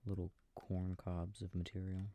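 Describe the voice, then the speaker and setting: A man speaking softly and breathily, often near a whisper, quietly musing to himself in an ASMR sleep-aid style while playing a video game. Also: low-pitched, monotone, normal speed, a little hiss or hum. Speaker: audio roleplay performer. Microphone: close microphone. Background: game controller clicking.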